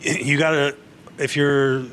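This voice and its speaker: A man's voice: a little high, flat delivery, slow, a bit bright. Forties guy rambling and restarting, noisy bar hum behind him. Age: 40s. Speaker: podcast guest, actor and bar owner. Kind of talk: casual chat.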